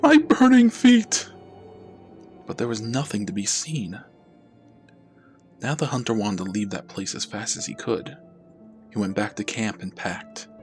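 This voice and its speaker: Male storyteller for horror narration: lowish pitch, measured and dramatic delivery, music underneath. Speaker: storyteller, channel host.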